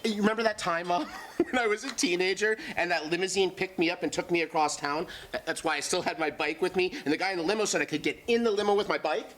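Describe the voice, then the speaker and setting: A man's voice: sits high, fast talker, a bit bright, a little hiss or hum. A man playing a troubled, drug-addled adult son who rambles and stammers in a childlike way during a staged play reading. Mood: amused, rambling. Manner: stammering, rambling, repeats words.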